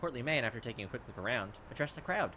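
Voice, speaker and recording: A man's voice: medium pitch, expressive, racing, background noise. A man hosting a let's play, reading game text aloud and adding casual, wry asides. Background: game music.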